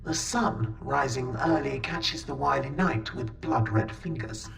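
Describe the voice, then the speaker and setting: Very deep, creaky alien noblewoman. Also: heavily acted. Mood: solemn, wistful. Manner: formal, measured. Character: solemn, stately alien noblewoman, reminiscing and poetic. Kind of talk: audio drama acting. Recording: music under the voice.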